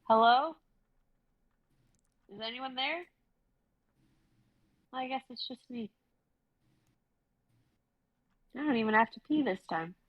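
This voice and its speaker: A woman's voice: on the high side, a little slow, pauses often, dry recording, dull audio, voice pushed up. A woman reading the part of an anxious, searching young woman traveler in a stage play, hesitant and earnest. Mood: lonely, tentative. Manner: hesitant, earnest, self-dismissing.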